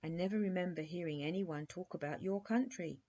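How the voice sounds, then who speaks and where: An eager, forthright young princess from a bedtime storybook, as a woman reader puts her on. She is direct and questioning, with a husky softness and a mellow tone. The recording is a little dull. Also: fairly low pitch, brisk, close to an everyday voice. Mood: curious.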